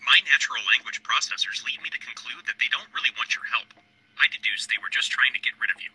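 An actor playing a blunt, pedantic old computer in a game, with stilted, analytical speech. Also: male voice, medium pitch, quick pace, bright, forceful tone, husky, dry recording, exaggerated voice, rough recording. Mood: deadpan.

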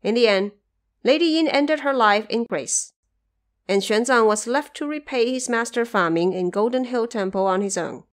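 A woman reading scripted storybook narration of a classic tale in a measured, expressive storyteller's manner. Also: fairly high pitch.

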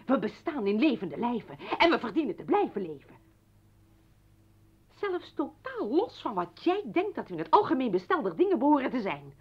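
A woman's voice: fairly high pitch, slightly rough. An actress in a radio play as a defiant, indignant mother confronting her estranged husband with biting, accusing sarcasm. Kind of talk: dramatic radio play acting. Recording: dull lo-fi old radio recording.

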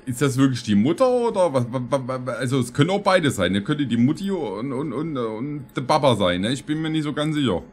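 A man in his thirties hosting a reaction video, commenting casually and jokingly in a halting, deadpan way. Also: medium pitch. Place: small indoor streaming room.

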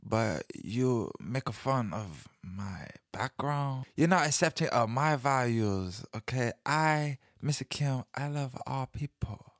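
Man playing a slow-talking, blunt foreign sage. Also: mid-range voice, lively intonation, no room sound, clean studio sound. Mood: firm. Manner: broken English, slow, emphatic pronouncements. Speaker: recurring radio show guest. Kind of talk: comedic radio interview.

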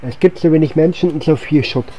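A man's voice: fairly high pitch, brisk, muffled recording, a little hiss or hum. A man gives casual, rambling video game commentary, thinking aloud as he plays. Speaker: gaming channel host. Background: game music and sound effects.

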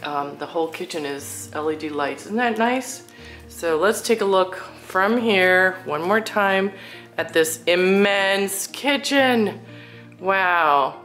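Slow-talking woman giving an admiring home tour. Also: lowish voice, expressive, fairly bright tone, faint music behind. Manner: admiring, exclamatory. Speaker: realtor showing a home for sale. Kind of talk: casual walkthrough home tour narration. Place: indoors, inside a furnished house.

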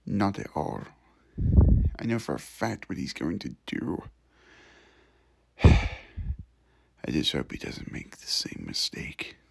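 A man puts on a stern, doting older demon father for an ASMR drama. He speaks slowly and with authority in a husky voice, recorded close and dry. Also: rumbling low, big pitch swings, no echo, voice pushed down, exaggerated voice. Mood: grave, fatherly. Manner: slow, deliberate, authoritative. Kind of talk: ASMR audio roleplay acting.

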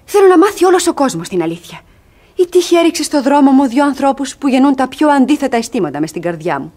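A woman's voice: high voice, very animated, a little mellow. An actress playing a spirited, cunning young woman in love in a classic radio comedy, with a theatrical, emphatic, ardent delivery. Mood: ardent declaration.

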